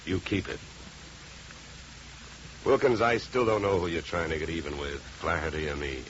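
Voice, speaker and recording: An American actor playing a tough, wry private investigator in a 1950s radio drama, with a dry, controlled, sardonic delivery. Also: male voice, low voice, fairly even intonation, moderate pace, lightly acted. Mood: shrewd, firm. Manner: hard-boiled, dry, controlled. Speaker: lead actor and first-person narrator. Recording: muffled, noisy 1950 radio recording.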